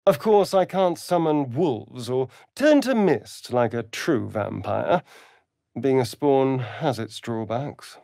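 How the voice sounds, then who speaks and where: A man's voice: mid-range voice, expressive, slow, gravelly, dark tone, no room sound, studio quality. A man playing a sardonic, vain vampire spawn in a fantasy video game, with an arch, theatrical drawl. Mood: sly, haughty.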